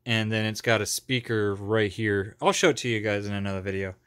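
A man's voice: lowish voice, brisk, a bit bright, clear, resonant tone. A man with a clean, resonant voice talks through his gear like a hobbyist. His delivery is informal and unscripted, wandering along as he shows things off. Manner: informal, rambling. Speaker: hobbyist video maker. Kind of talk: casual product show-and-tell.

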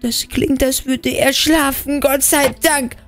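A woman voicing an excitable, nervous older brother in a game role-play, exclaiming to viewers and stammering when flustered. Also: high-pitched, slow pace, faint music behind. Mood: anxious whispering.

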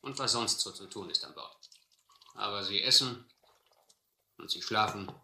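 A stern, low-voiced sea captain. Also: male voice, moderate pace, vocal fry, pushed and bright, echoey room, full character voice. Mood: firm, terse. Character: stern, determined sea captain narrating his adventure. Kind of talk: German audio adventure drama.

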